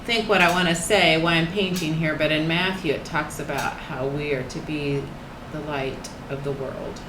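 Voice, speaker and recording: Older woman, low slightly croaky voice, soft-spoken painting chat; echoey room with a steady air-conditioner hum. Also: moderate pace. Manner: soft-spoken, thinks aloud with trailing restarts. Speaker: painting channel host. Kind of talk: casual talk while painting, faith reflection.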